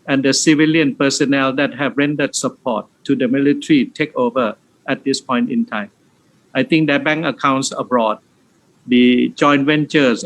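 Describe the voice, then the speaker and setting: A man's voice: mid-range voice, poor audio. A man giving a firm, emphatic political answer at a press conference.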